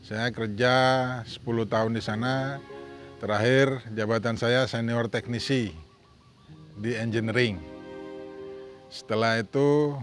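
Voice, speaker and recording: A man's voice: medium pitch, fairly even intonation, unhurried, pauses often, soft background music. A man in his fifties from Sumatra, giving an earnest, plain-spoken personal testimony. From Sumatra, Indonesia. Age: 50s. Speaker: testimonial speaker.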